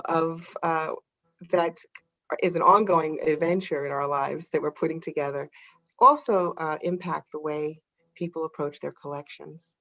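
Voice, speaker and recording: A woman hosting an arts talk, with a formal, measured way of speaking. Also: fairly low pitch, fairly bright tone. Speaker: host. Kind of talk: scripted intro and interview questions.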